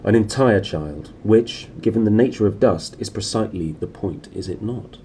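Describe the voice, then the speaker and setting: Storybook role: composed gentleman lecturer, played by a male reader, dark tone, measured, assured delivery. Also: fairly low pitch, close to an everyday voice. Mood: calm, assured. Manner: calm, measured. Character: composed, authoritative gentleman explorer lecturing scholars. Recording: clearly noisy room recording.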